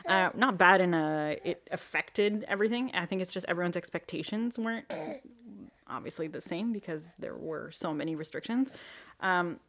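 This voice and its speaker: A young woman and new mother telling her pregnancy story in a relaxed interview, with many fillers and restarts. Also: fairly low pitch, normal speed. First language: not French. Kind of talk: personal testimony interview.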